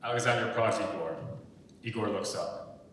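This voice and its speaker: A man's voice: normal speed, fairly bright tone. A man reading screenplay stage directions aloud as scripted narration, recorded from far off in an echoing hall. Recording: echoey hall, far from mic, rumbly noise.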